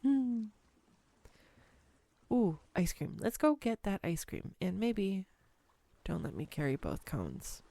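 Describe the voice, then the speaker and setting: A woman's voice: medium pitch, wildly swooping pitch, slow pace, vocal fry, pauses often, dark tone, dry recording, heavily acted. A woman playing a clumsy, sweetly awkward young woman smitten on a first date, speaking tenderly and playfully to the listener in a romantic audio roleplay. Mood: playful, happy. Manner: tender, playful, hesitant, self-deprecating. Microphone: close USB microphone, dry room.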